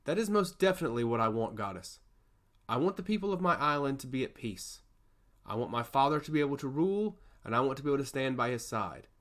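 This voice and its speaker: A man's voice: medium pitch, lively intonation, quick pace, fairly bright tone, close to an everyday voice. A man playing an earnest young prince who speaks respectfully and longs for peace, in a fantasy story. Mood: yearning.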